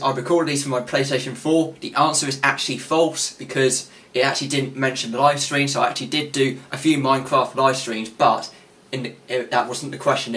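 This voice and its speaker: A man's voice: mid-range voice, fairly bright tone. A twenty-one-year-old man hosting a quiz from his bedroom, rambling with many fillers and restarts, his voice wearing out. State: voice getting tired. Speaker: channel host running a quiz. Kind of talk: casual quiz hosting to camera. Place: small bedroom with gaming desk, indoors.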